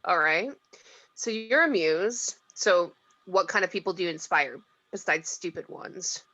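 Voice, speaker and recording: A woman's voice: lowish voice, unhurried, bright, forceful tone, full character voice. A woman playing a skeptical, exasperated mortal in a script table read, with a sarcastic manner. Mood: sarcastic, challenging. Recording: dull lo-fi home mic.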